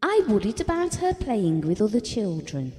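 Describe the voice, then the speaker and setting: An actress playing a gentle, devoted, self-doubting middle-aged British wife and mother, reminiscing softly in an audio drama. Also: mid-range voice, wildly swooping pitch, moderate pace. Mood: fond. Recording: reverberant hall-like room.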